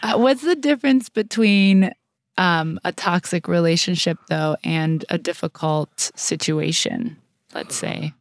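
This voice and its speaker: Lowish-voiced woman podcast co-host, dry sound. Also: a little slow, no echo. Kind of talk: casual interview questioning.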